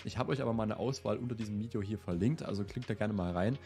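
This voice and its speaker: A man in his twenties with a slight cold, hosting a relaxed question-and-answer vlog in a chatty, easygoing way. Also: fairly low pitch, fast, creaky, soft background music. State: slightly ill. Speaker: host. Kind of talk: casual Q&A vlog. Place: indoor room with plant wall and lit shelves.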